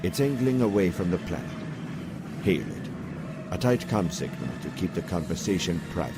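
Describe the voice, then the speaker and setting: A man playing a calm, calculating military officer in a comic dub, speaking in a measured, formal and precise way. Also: low voice. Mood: calm, commanding. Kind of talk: scripted comic dub acting. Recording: music behind the voice.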